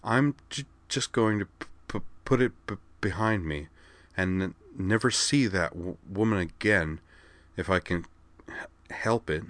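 A man plays an angry young woman student in a story reading. She stammers hard on her consonants, halting and clipped, stubborn and tight, in a dry recording. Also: low-pitched, fairly bright tone, no echo. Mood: guarded, stubborn.

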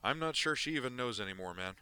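A brash, agitated man barks and curses through every line, loud and sharp-edged, as a male reader puts him on. The voice sits far higher than the reader's own deep tone. Pure restless storybook mania. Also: lively intonation, quick pace, clear, resonant tone. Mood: brusque. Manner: shouting, cursing, agitated. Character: brash frantic man, gonzo doctor. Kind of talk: audiobook character voice.

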